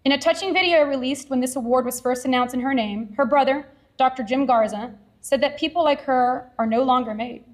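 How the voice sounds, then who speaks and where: A woman from Texas, a science teacher, giving an earnest, measured award acceptance speech at a ceremony podium. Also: high-pitched. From Edinburg, Texas.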